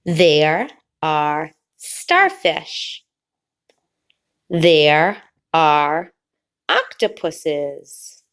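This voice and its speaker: Teacher lady reading a kids book super slow, voice bouncing all over, lowish. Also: big pitch swings, fairly bright tone. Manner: slow, drawn-out reading with sing-song pauses before the last word; explains pictures in a friendly teacherly way. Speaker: teacher reading aloud to children. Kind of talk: children's read-aloud.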